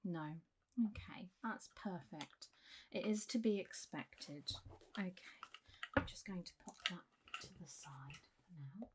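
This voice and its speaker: A woman role-playing a doctor for ASMR, speaking softly and often in whispers, with a gentle, reassuring, unhurried bedside manner. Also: fairly low pitch, expressive, noisy background. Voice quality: soft, breathy, often whispered. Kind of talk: whispered and soft-spoken ASMR medical roleplay.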